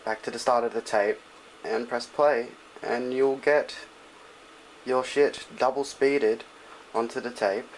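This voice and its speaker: Casual man presenting over clear background noise. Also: medium pitch, fairly even intonation, slow. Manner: offhand, loose, informal. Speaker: tutorial presenter. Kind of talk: casual hands-on tutorial chat. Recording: noisy home recording with clicks.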